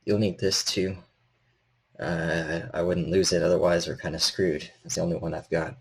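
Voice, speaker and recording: A man's voice: low voice, little pitch movement, moderate pace, vocal fry, slightly rough, pitched below the performer's own voice, heavily acted. A man playing a nervous, weary repairman in a tabletop game, cautious and helpful, speaking in hesitant stammers.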